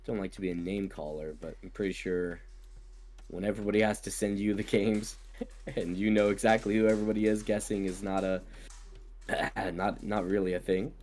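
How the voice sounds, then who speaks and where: A man co-casting a strategy game while fighting a cold, his voice low and thickened. He talks quickly and cheerfully, chatting about the match. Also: little pitch movement, darkish tone. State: sick with a cold, deeper voice than usual. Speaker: co-caster. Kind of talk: game commentary.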